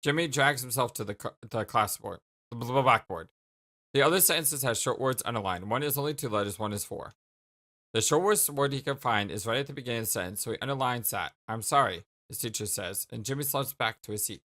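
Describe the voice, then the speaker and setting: A man's voice: mid-range voice, fast talker, pushed and bright. A man reading visual novel narration as a let's play host, with casual commentary and the odd stumble.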